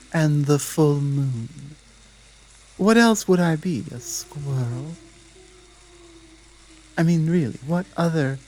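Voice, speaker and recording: A woman playing a dominant, menacing female werewolf pack leader in a slow roleplay ASMR drama, speaking deliberately and with taunting command. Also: low voice, warm tone, slight background noise. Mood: exasperated, commanding.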